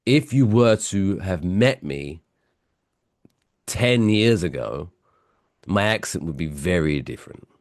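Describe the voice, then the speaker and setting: A man with a British accent, softened by years in America, telling stories as a podcast co-host while stuffy with a cold. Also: sits low, lively intonation, takes it slowly, long pauses, no room sound, studio quality. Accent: British English softened by years in the US. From England. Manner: chatty, self-mocking storyteller. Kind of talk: casual podcast chat.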